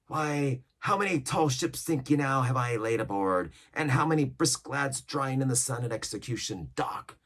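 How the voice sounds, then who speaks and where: Brief: a man playing a cunning older cook, gravelly growl, lively swings in pitch. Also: normal speed, hardly any pauses. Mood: scheming. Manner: growling, theatrical, shifting between bluster and whispers. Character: scheming one-legged pirate cook, older man, cunning.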